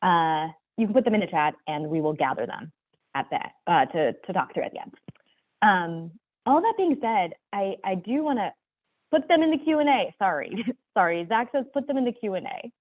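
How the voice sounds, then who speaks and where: A woman's voice: mid-range voice, animated. A woman moderating an online panel in a chatty, loose way, thanking speakers and steering the talk. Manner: chatty, loose, with fillers and quick apologies. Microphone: video call. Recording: tinny phone-like video call audio.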